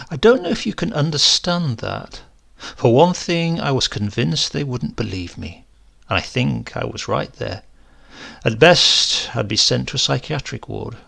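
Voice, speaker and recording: A man reading a first-person horror story aloud in a dramatic, confiding and expressive manner. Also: medium pitch, vocal fry. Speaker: story reader.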